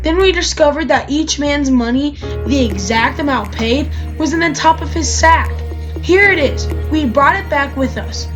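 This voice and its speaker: A woman's voice: sits high, normal speed, voice pushed up, lightly acted. A woman voicing earnest, pleading grown brothers in a Bible story, with insistent, emphatic delivery. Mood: anxious explaining. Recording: music behind the voice.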